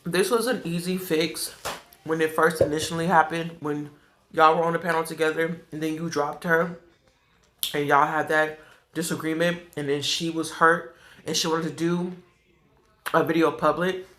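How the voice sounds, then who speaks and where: A man's voice: a little high, fairly even intonation, moderate pace, a bit bright. A man with a stuffy nose giving a blunt, scolding commentary while eating on a food show. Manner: blunt, scolding, repeats points. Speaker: food vlogger.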